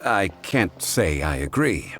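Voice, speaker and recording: A man voicing a calm, learned gentleman in a video game, speaking in a measured, courteous and formal way. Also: low-pitched, faint music behind, exaggerated voice. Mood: calm, reasoned. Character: calm, refined, learned gentleman, politely unshakeable.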